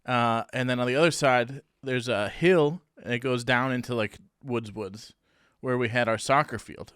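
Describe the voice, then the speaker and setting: A man's voice: medium pitch, moderate pace, fairly bright tone, clean tone, no echo, clean studio sound. A man co-hosting a podcast, telling stories in a chatty way and laughing often. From New Hampshire, USA. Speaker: podcast co-host. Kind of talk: casual chat.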